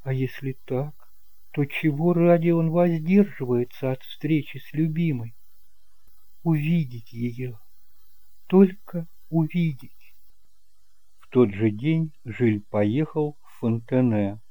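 A man reads scripted romantic fiction with measured expression. His voice is very dark and soft, the delivery slow, and the sound dry and close. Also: on the high side, no room sound, poor audio. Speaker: audiobook narrator.

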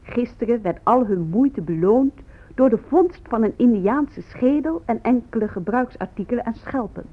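A woman's voice: a little high, lively intonation, normal speed. A woman playing a detached reporter of academic findings in a radio play, reading a scripted report. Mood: factual. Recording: muffled, narrow radio play recording.

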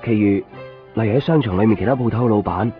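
A man's voice: lowish voice, fast talker, close to an everyday voice. An actor playing a lovesick, obsessive young man in a Cantonese radio drama with a Hong Kong accent, plaintive and insistent. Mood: weary narrating. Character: lovesick young copy-shop man obsessively searching for missing girlfriend. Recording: muffled 1990s radio drama recording. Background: music.

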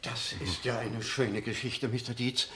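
An actor playing a middle-aged lawyer in a radio play, precise, dry and measured as he explains things. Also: mid-range voice, fairly even intonation, sharp tone, a little hiss or hum. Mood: sober, concerned. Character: sober, precise lawyer, matter-of-fact. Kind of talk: German radio play acting.

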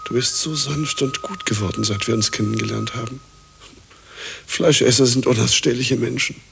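An actor playing a fussy, vain, clingy husband in a radio play, coaxing and preening, easily stressed. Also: male voice, medium pitch, fairly even intonation, normal speed, vocal fry, natural voice. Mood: fussy scolding. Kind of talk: radio drama acting. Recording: audible background hiss.

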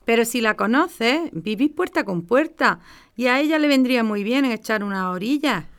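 A woman's voice: on the high side, lively intonation, normal speed, a bit bright, clean tone. A woman with an accent from Spain playing a caring, insistent older mother in a radio soap, coaxing and matter-of-fact. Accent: Spanish from Spain.

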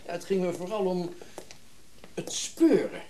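An actor playing a wealthy, self-important businessman and collector in a Dutch radio drama, brisk and commanding. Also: sits high, mellow, slight background noise. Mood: businesslike.

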